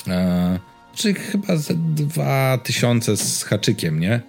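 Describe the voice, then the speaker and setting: A man in a casual tech and gaming chat, speaking haltingly and often stammering and restarting his words. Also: mid-range voice, big pitch swings, vocal fry, darkish tone, soft background music. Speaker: podcast co-host.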